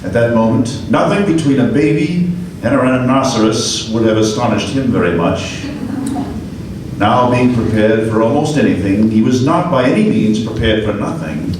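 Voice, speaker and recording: A man narrating a classic ghost story aloud in a live radio play, with measured, vivid storytelling. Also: medium pitch, animated. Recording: echoey hall, far mic, noise.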